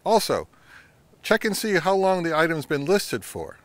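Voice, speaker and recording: A man's voice: on the high side, sing-song, a little slow, no room sound. A middle-aged man with a North American accent giving informal, emphatic advice to camera as a channel host. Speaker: vintage audio channel host. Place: outdoors in woodland.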